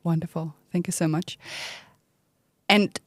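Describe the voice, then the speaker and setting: A woman's voice: fairly low pitch, fairly even intonation, a little slow, dry recording, studio quality. A woman co-hosting an interview podcast who welcomes her guest in an upbeat way. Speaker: podcast co-host. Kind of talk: podcast hosting, scripted intro.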